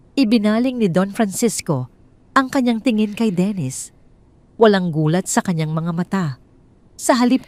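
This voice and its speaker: A woman with a Filipino accent narrating a scripted dramatic story in a measured, formal storytelling manner. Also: fairly low pitch, a little mellow, no echo.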